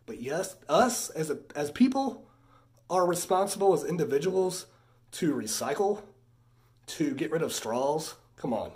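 A man's voice: fairly high pitch, sing-song, a little slow, darkish tone, breathy edge. A man in his thirties, congested from a sinus infection, chatting casually as he shows off a haul indoors. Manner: chatty, easily impressed, drifts into a rant. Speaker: vlogger showing a haul. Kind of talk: casual haul vlog chat.

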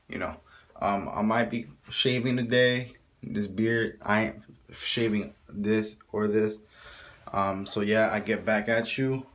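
A young man talking casually to his phone camera, rambling and cursing as he moves around his house and then outside. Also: on the low side. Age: young adult. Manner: rambling, mumbled asides, frequent cursing. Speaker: vlogger. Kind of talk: casual handheld vlog. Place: house indoors, then outdoors in a neighborhood. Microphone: phone held at arm's length. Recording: noisy phone recording.

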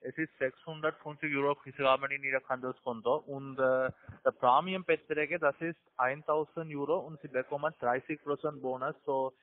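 A man with a foreign accent giving a halting telemarketing pitch in broken grammar. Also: steady tone. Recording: tinny narrow phone line.

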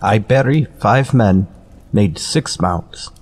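A man playing a stoic, loyal companion in a western radio drama, speaking clipped broken English in a husky voice. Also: on the low side, animated, takes it slowly, darkish tone, exaggerated voice. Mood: grave, firm. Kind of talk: old-time western radio acting. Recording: distant, long hall-like reverb.

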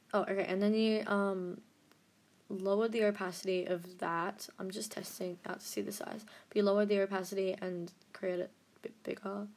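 A young woman narrating a drawing-app editing tutorial in a casual, rambling way, full of fillers and self-deprecating asides. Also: mid-range voice. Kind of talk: casual screen-recorded tutorial.

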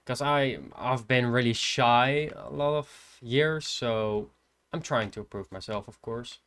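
An eighteen-year-old man with a Dutch accent, quietly thinking aloud as he streams a horror game from his computer desk. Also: mid-range voice, a little slow, smooth. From Netherlands. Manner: soft-spoken, hesitant, thinking aloud. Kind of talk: casual gameplay commentary. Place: indoors at a computer.

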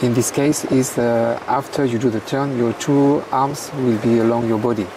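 A man with a French accent explains technique slowly and hesitantly, filling his sentences with pauses and restarts. He sits somewhat away from the microphone. Steady background noise runs under his voice. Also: medium pitch, recorded from a distance. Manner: hesitant, halting, many fillers. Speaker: guest commentator.

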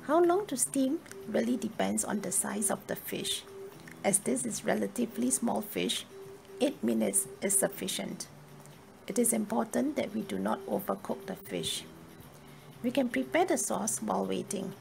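A woman reading a scripted cooking tutorial in a measured, step-by-step instructional manner. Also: on the high side, big pitch swings, creaky, noisy background. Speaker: cooking video narrator. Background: music.

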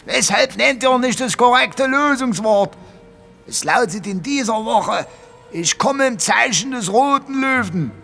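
An actor playing a grumpy, weary old war veteran gatekeeper over seventy in an audio drama. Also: male voice, very high voice, lively intonation, vocal fry, pushed and bright, faint music behind, heavily acted. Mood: grumpy, complaining. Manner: grumbling, slow and weary. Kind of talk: German audio drama acting.

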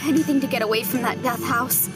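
A woman's voice: fairly high pitch, steady tone, quick pace, over loud music, natural voice. An actress playing a nervous young woman in a horror audio drama, a loyal friend who talks in questions.

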